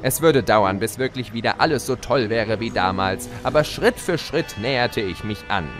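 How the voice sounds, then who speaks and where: A man's voice: on the low side, lively intonation, moderate pace, music in the background, close to an everyday voice. An actor playing a gleeful chaos spirit, a theatrical, mocking villain who narrates his own tale in an audio drama. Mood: smug storytelling.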